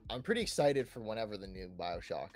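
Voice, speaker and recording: A man's voice: on the low side, big pitch swings, fast talker, soft background music. A man hosting a casual podcast chat, talking in a chatty way and often checking in with "you know" and "right". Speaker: podcast host.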